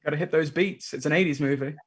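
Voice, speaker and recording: A man's voice: fairly high pitch, fast talker, husky. A man on a panel making wry, joking remarks in a casual film commentary.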